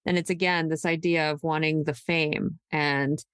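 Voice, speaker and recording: A woman's voice: low voice, steady tone, moderate pace, a bit bright, smooth, dry recording, clean studio sound. A woman hosting a true crime podcast, discussing it casually in a blunt, opinionated way. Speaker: podcast host.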